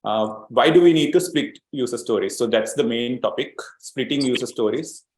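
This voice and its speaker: Man in his 40s from Sri Lanka, webinar trainer, mid pitch, filler-heavy explaining, roomy echo on the call. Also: moderate pace, a little hiss or hum. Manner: steady explaining, frequent "um" and "uh" fillers, repeats words. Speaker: agile coach leading a webinar. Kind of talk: online training webinar presentation.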